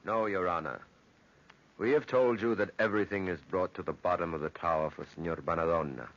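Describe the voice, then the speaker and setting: A man's voice: sits low, a little fast, fairly bright tone. An actor in old-time radio drama playing a respectful workman who informs on others in an ominous, insinuating way. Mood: ominous, hushed. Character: conspiratorial workman, ominous and respectful tale-bearer. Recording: dull, lo-fi old radio recording.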